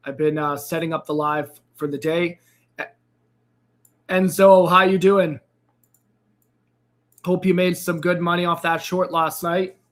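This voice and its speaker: A man hosting a casual live trading stream, upbeat and greeting viewers by name, his voice a bit raspy and hoarse after losing it. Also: fairly high pitch, fairly even intonation, a little slow, long pauses. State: slightly hoarse, recovering from a lost voice. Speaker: crypto trading livestream host. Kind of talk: casual livestream chat.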